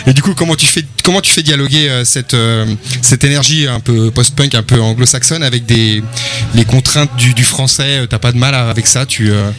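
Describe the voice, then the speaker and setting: A man's voice: mid-range voice, fairly quick, a bit bright. A man hosting a radio interview, asking long, stumbling questions full of restarts. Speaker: radio host.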